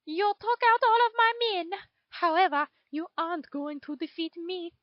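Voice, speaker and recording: A woman's voice: very high-pitched, lively intonation, normal speed, dry recording. A woman voicing a gloating male dictator villain in a story reading, taunting and grandiose in manner. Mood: jovial menace. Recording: dull lo-fi home recording.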